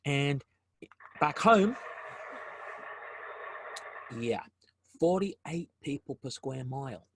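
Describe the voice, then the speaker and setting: A man's voice: a little high, animated, extremely slow, pauses often, a little hiss or hum, low-quality recording. A man with a South African accent presenting a business webinar over a video call, often repeating words and restarting phrases as he goes.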